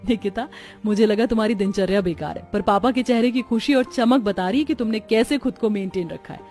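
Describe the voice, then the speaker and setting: A woman's voice: medium pitch, brisk, darkish tone, soft background music, lightly acted. A woman playing a hardworking, sensible village sister-in-law in a cartoon, speaking earnestly. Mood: won over. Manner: earnest, advising.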